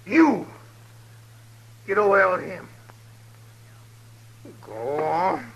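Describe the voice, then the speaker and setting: An actor playing a vengeful, taunting gang leader in an old western radio drama. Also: male voice, high voice, sing-song, extremely slow, a bit bright, a little hiss or hum, full character voice, poor audio. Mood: cold menace. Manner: menacing, taunting. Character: vengeful, sneering male outlaw gang leader.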